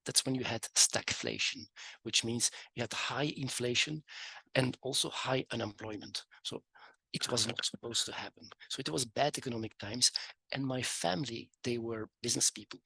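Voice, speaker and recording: A man in his fifties from the Dutch-speaking part of Belgium, with a Flemish accent, telling his story as a guest in a relaxed, casual way with many fillers. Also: medium pitch, moderate pace, gravelly, husky, dry recording, low-quality recording. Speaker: guest economist. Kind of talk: casual interview talk.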